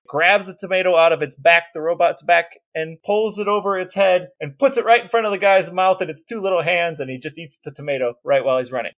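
A man co-hosting a casual podcast, telling stories with enthusiasm and asking deadpan questions. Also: on the high side, no room sound. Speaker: podcast co-host.

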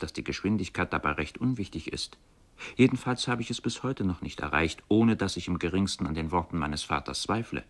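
An actor playing a reflective man recalling his youthful wandering, in measured, contemplative literary radio narration. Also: lowish voice. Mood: wistful, thoughtful. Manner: measured, contemplative, carefully phrased.

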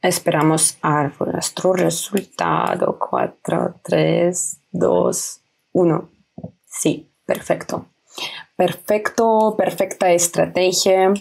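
A woman's voice: fairly low pitch, steady tone, slow pace, vocal fry, darkish tone, husky, low-quality recording. A young woman explaining trading steps in a casual screen-recorded tutorial, speaking simple as a with repeats and small self-corrections. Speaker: trading video host. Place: indoors at home, webcam in corner.

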